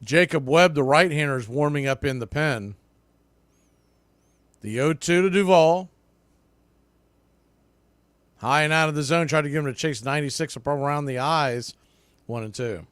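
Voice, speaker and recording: A man's voice: on the high side, moderate pace, long pauses, a bit bright, no echo. A man giving live radio play-by-play commentary of a baseball game as the announcer.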